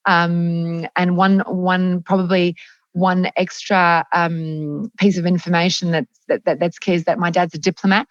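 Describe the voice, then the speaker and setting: A woman's voice: on the low side, level tone, measured pace, no echo. Hesitant woman on a dull, dry call. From France. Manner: hesitant, many ums and restarts. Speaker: guest, company chief executive. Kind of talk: conversational interview answers.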